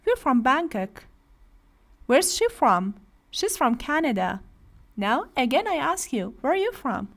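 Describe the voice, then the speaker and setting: A woman's voice: high-pitched, big pitch swings, unhurried, vocal fry, fairly bright tone. A woman with a Persian accent teaching English to adult beginners, patiently explaining grammar and asking students to repeat. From Iran. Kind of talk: classroom lesson for adult beginners.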